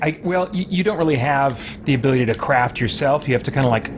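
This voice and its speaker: Casual male game streamer over loud music. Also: medium pitch, quick pace. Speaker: gaming streamer. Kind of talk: casual livestream game commentary.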